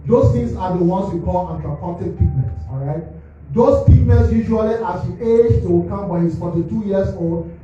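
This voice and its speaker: A man's voice: sits high, normal speed, background noise. A man, a forensic pathologist at a press briefing, walking the press through slides like a teacher and checking "all right?" after each point. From Liberia. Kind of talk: explaining autopsy slides to the press. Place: government press briefing hall. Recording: distant mic, echoey muffled hall.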